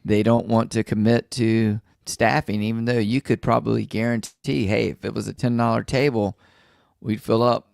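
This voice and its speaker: A man's voice: fairly low pitch, little pitch movement, moderate pace, gravelly, a little mellow, no room sound. A man hosting a podcast, asking leading questions in a chatty way and laughing easily. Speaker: podcast host. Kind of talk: casual chat.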